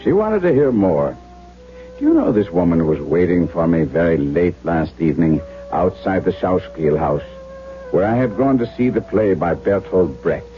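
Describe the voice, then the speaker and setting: A man's voice: low-pitched, sing-song, normal speed, soft background music. An actor playing an impatient, harried German doctor who narrates his own story in a theatrical, emphatic 1970s radio drama style. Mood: harried narration. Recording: dull, noisy 1970s radio drama recording.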